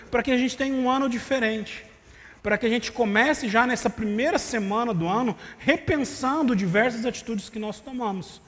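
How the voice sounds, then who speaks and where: A man preaching a sermon in a conversational way, with rhetorical asides to the congregation, in a voice that tires easily. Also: extremely high pitch. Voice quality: vocal cord problem, voice tires easily. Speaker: pastor. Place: church sanctuary. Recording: live church stream with long reverb.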